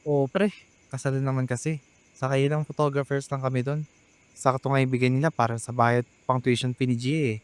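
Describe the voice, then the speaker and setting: A man's voice: mid-range voice, little pitch movement, a little fast, clear, resonant tone, close to an everyday voice. A man with a Filipino accent playing a hardworking, overprotective young eldest brother in a radio drama. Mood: casual, tired.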